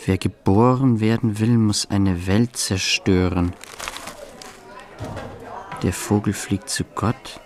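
An actor playing a solemn, introspective man in literary radio play recitation. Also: low-pitched. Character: solemn, introspective man voicing thoughts and messages.

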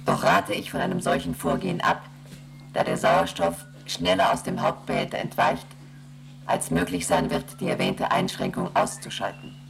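A woman voicing a cold, rule-bound station computer in a radio play, reciting rules and figures in a flat, mechanical way. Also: rumbling low, moderate pace, gravelly, full character voice.

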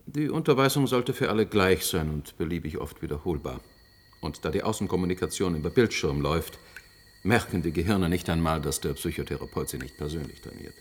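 An actor playing a self-assured institute president in a science fiction radio play, speaking in a formal, official manner. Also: male voice, deep voice, fairly quick.

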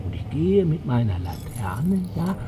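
A man's voice: a little high, sing-song, measured pace, noisy background. An actor playing an agitated, contrary older male psychiatric patient in a radio drama, abrupt and theatrical. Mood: rambling, defiant.

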